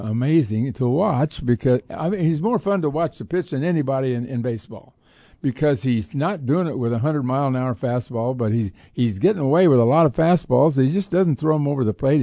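Relaxed American man talking sports, dry sound. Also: medium pitch, no room sound. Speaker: sports talk show co-host. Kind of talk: casual sports commentary.

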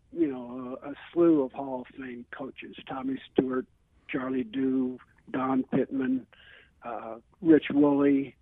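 An American man in his seventies speaking as a phone-in guest, reminiscing casually in a halting, rambling way over a telephone. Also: fairly high pitch, creaky, dry recording. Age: 70s. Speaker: phone-in guest, retired PE teacher and coach. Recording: tinny narrow phone line.

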